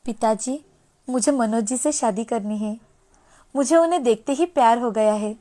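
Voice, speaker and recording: A woman's voice: a little high, normal speed, dark tone, pitched below the performer's own voice, lightly acted. A woman voicing an emotional young bride-to-be in a storybook tale, pleading and exclaiming dramatically. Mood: smitten. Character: vain, emotional young bride-to-be daughter, later remorseful.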